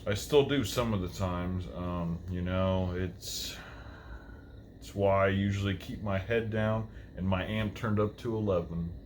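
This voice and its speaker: A man voicing an undead metal musician, a brooding but polite reaper giving earnest, measured interview answers. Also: deep voice, fairly even intonation, a little mellow, slight background noise. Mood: candid, brooding. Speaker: talk show guest.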